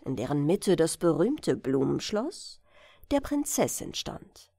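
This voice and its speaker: Female bedtime-story narrator, unhurried, animated intonation, close dry sound. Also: medium pitch, no room sound. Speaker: audiobook narrator. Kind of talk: expressive fairy-tale bedtime reading.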